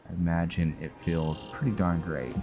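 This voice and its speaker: A man's voice: low voice, fairly even intonation, moderate pace. A man giving casual let's play commentary, laid-back and musing, often talking to himself as he plays. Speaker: gamer and role-play series host. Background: game music.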